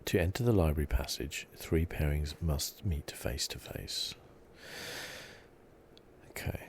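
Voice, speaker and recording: A man giving soft-spoken ASMR commentary as he plays a game, hushed, relaxed and meandering, close to the mic. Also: extremely low pitch, unhurried, slight background noise. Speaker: ASMR gaming host.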